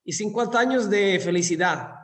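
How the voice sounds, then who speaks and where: A man's voice: high voice, normal speed, a bit bright. A fifty-year-old man from southern Italy with an Italian accent, telling his story as an interview guest in Spanish as a second language, courteous and often repeating words. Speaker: interview guest, hotel managing director. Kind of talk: conversational personal storytelling. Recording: long hall-like reverb.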